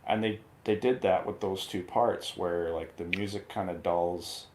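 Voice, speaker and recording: A man with a deep, low-pitched voice talks casually in a film review. His delivery is halting, as he searches for the right words while he explains. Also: steady tone, a little mellow. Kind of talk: casual movie review chat.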